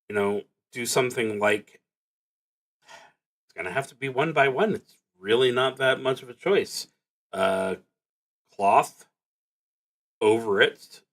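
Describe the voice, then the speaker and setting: A man playing a solo tabletop roleplaying game on a stream, reading prompts aloud and thinking out loud in a halting, musing way. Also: mid-range voice.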